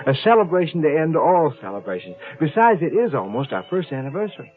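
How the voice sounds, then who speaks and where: Animated, doting young American husband. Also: male voice, a little high, fast talker. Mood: exuberant. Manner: effusive, theatrical delivery. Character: doting, jovial young rocket pilot husband. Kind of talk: 1950s radio drama acting. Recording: old radio recording, narrow band, faint music.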